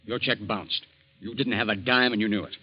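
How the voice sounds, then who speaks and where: An actor as a sly, menacing ex-lawyer. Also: male voice, fairly low pitch, fairly even intonation, a little fast, natural voice. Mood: scheming. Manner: insinuating, menacing. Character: scheming sly male ex-lawyer. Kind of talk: 1940s radio acting. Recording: dull old radio recording.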